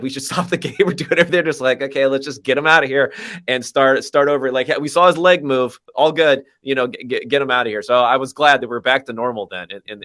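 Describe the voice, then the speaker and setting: A man's voice: mid-range voice, fairly bright tone. A man hosting a sports podcast, chatting casually with wry, sarcastic asides. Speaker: podcast host.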